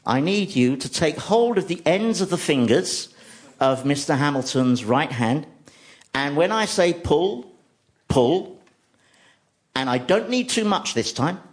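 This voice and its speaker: A British man voicing a breezy, bossy male hospital doctor in a stand-up story, brisk and chummy. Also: fairly high pitch, animated, pitched above the performer's own voice. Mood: instructing.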